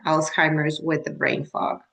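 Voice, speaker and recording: A woman in her fifties from Mexico works as a menopause wellness coach. Her voice sits low and she takes her time, circling back with "like" and restarting her sentences. She is talking casually over a video call. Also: steady tone, gravelly, fairly bright tone. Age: 50s. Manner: halting, full of restarts and "like" fillers.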